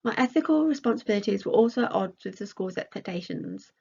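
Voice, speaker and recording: A woman's voice: mid-range voice, animated, fast talker, creaky, warm tone, breathy edge, dull audio. A woman reading out a scripted academic conference presentation as a researcher.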